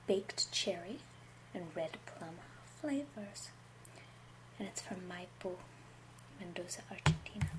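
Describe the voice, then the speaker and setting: A woman playing a genteel, confiding love-potion witch in an ASMR roleplay, speaking gently in a breathy half-whisper. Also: medium pitch, a little hiss or hum, rough recording. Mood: soft, measured. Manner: slow, gentle, unhurried, confiding. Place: small home room, indoors.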